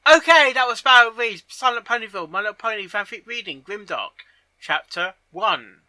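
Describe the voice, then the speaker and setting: A man giving casual reaction commentary on a story, halting and often repeating his phrases as he goes. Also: high voice, pushed and bright, no echo. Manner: halting, repeats and rephrases himself. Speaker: reaction video host.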